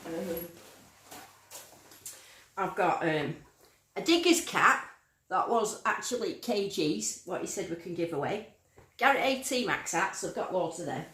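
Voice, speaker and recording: A woman's voice: on the low side, lively intonation, unhurried, long pauses, fairly bright tone, echoey room, slight background noise. A woman from Lancashire with a northern accent that sounds a bit Yorkshire, chatting casually on a livestream and reading out viewer comments. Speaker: metal detecting livestream host.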